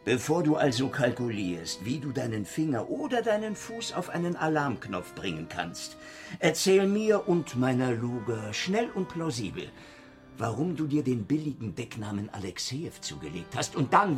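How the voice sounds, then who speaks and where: A man's voice: mid-range voice, very animated, moderate pace, faint music behind, natural voice. A man playing a cynical, menacing interrogator in a Soviet-era thriller radio drama, taunting and needling with his questions. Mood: mocking menace.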